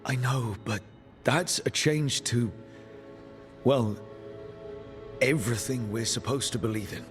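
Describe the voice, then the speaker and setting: An actor playing an earnest, steady leader of an adventuring party in a video game cutscene, with music behind him. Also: male voice, medium pitch, slow pace, close to an everyday voice. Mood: earnest, troubled.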